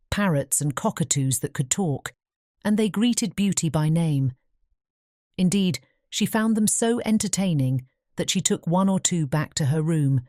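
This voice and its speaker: A woman narrating a fairy tale audiobook in a measured bedtime storytelling manner. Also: low-pitched, dark tone, no echo, studio quality.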